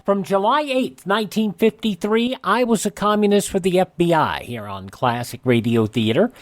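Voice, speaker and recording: A man's voice: high-pitched, animated, normal speed, over music. An American man hosting a radio show, giving a chatty sign-off with plugs.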